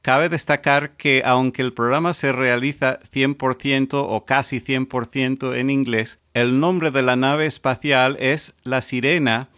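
A man hosting a podcast panel, laying out his points in a careful, explanatory way. His voice sits at an ordinary middle pitch. The sound is close and dry, with almost no room around it. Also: fairly even intonation, a bit bright, dry recording. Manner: measured, explanatory. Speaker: podcast host.

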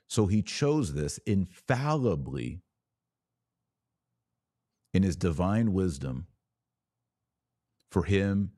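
A man hosting a podcast of religious commentary, speaking in an emphatic, didactic way with deliberate phrasing. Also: sits low, warm tone, dry recording, clean studio sound. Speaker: podcast host.

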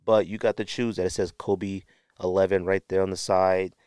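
Low-voiced man, clear podcast talk. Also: little pitch movement, normal speed, a little mellow, no echo, clean studio sound. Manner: rambling, self-correcting, unscripted. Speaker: sneaker podcast host. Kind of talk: casual show-and-tell talk to camera.

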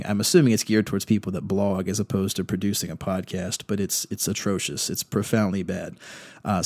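A lowish-voiced man hosts his podcast in a chatty, rambling way, blunt and peppered with fillers. His voice is a little rough from recent illness. The sound is close and dry, with no room around it. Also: a little mellow, no room sound. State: slightly rough voice after illness. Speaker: podcast host. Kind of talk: casual podcast announcements.

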